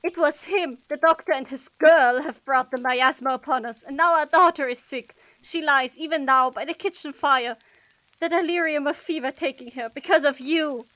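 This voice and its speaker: A woman's voice: sits high, normal speed, bright, forceful tone, dry recording. A woman acting an anguished, haughty, accusing mother in a period audio drama, theatrical and formally spoken.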